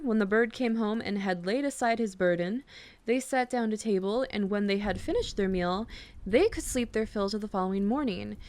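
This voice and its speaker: A woman speaking softly and soothingly in an intimate, gently teasing ASMR bedtime roleplay, close to the microphone. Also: medium pitch, no room sound. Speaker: ASMR roleplay performer playing a girlfriend. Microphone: close microphone, dry sound.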